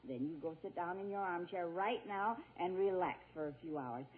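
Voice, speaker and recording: A woman's voice: on the low side, lively intonation, moderate pace, lightly acted. A woman playing a bossy, fussing middle-aged wife and mother in 1940s radio comedy, insistent and scolding. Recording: tinny 1940s radio broadcast.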